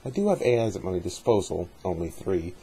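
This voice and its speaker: A man giving an amateur dramatic reading of a journal in a measured, deliberate way, with a husky voice. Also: deep voice, big pitch swings, mellow, slight background noise. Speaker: amateur reader hosting a dramatic reading series.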